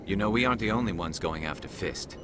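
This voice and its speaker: A determined alien investigator from a video game, played by a man, speaks in a low register. He is earnest and intent about his duty. A clear vehicle-like hum runs behind the voice. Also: fast, close to an everyday voice. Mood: intent, resolute.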